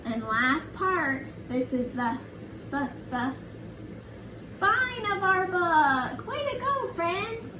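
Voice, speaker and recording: A woman reading a picture book to young children like a preschool teacher, in an animated, sing-song, encouraging way with simple questions to her listeners. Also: very high-pitched, drawn-out words. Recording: echoey room, distant mic, noisy background.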